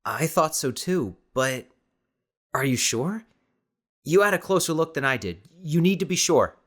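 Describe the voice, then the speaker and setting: A man puts on an earnest young man of eighteen for an audiobook. He sounds cautious and deliberate, with a questioning manner and a mid-range voice. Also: a little high, animated, fairly bright tone, pitched above the performer's own voice. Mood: doubtful. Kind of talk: audiobook character dialogue.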